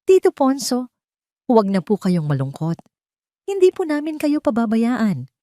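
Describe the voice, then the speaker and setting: A woman voicing a poor little girl, gentle, loyal and reassuring, in an audio storybook. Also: fairly high pitch, sing-song, a little slow, no echo, clean studio sound, pitched above the performer's own voice. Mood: tender, reassuring.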